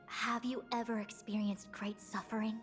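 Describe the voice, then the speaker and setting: A woman's voice: fairly high pitch, flat delivery, normal speed, big-room sound, music in the background, natural voice. A woman voicing a composed, erudite diviner in a video game, speaking formally and analytically. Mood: probing.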